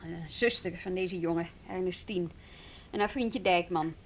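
A woman's voice: on the low side, a little slow, slight background noise, rough recording. A woman acting a firm, patient, businesslike juvenile police detective in a naturalistic radio drama.

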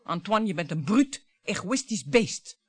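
Theatrical, bitter wife, swooping pitch. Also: female voice, very animated, measured pace, husky, no room sound. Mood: scornful. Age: 50s. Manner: theatrical, mocking, swinging between coaxing and scorn. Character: bitter, theatrical wife in her fifties, taunting her husband. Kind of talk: radio drama acting.

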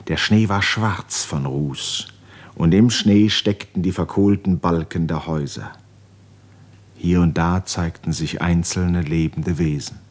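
An actor narrating an epic novel in a 1950s radio drama, grave, measured and solemn. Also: male voice, low voice. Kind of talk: literary narration in a 1950s German radio play.

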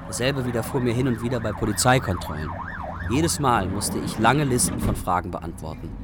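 A man's voice: on the low side, lively intonation, brisk, very noisy, lightly acted. An actor playing a wry Iraqi refugee who tells of his youth in matter-of-fact radio drama narration. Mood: wry, reflective.

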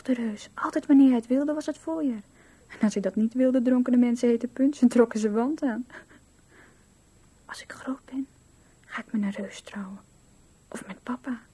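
Small girl, radio drama, high-pitched, mellow, breathy, playful, dreamy storytelling. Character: dreamy, playful little girl with big fantasies.

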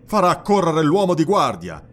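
A man's voice: a little high, wildly swooping pitch, normal speed, fairly bright tone, voice pushed up, exaggerated voice. A man voicing a brooding, proud barbarian warrior in a fantasy audiobook, speaking in a terse, stern, defiant way. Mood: wary. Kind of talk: dramatized fantasy audiobook dialogue.

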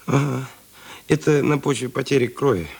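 An actor playing a defiant, bitter criminal in a radio drama, insolent and theatrical, with a raspy, gravelly voice. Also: male voice, mid-range voice, moderate pace, a little mellow. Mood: sullen, weary. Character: defiant, bitter male criminal lying in hospital.

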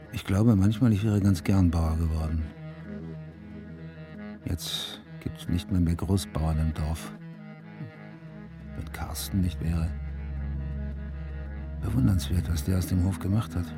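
A weary country detective in a radio drama. His voice is low and gruff, his delivery dry and sardonic, with music in the background. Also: fairly even intonation, a little slow, pauses often. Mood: brooding. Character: weary, curt male police detective, farmer's son. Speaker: lead character and narrator. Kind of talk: radio drama acting and narration.

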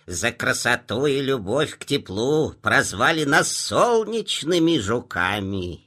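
A man's voice: medium pitch, sing-song, slow pace, pushed and bright, full character voice. A man playing a proud, sun-loving beetle in a children's audio play.

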